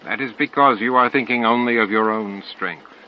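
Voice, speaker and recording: A man's voice: medium pitch, normal speed, natural voice. A man voicing a fervent religious believer in an audiobook, solemn and preacherly. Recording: dull lo-fi audio, clear noise.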